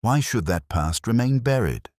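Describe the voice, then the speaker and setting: A man voicing a poised, calculating woman schemer in a storybook reading, softly and deliberately. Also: mid-range voice, lively intonation, no room sound, studio quality, voice pushed up, lightly acted. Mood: calculating, defiant. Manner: soft, composed, deliberate.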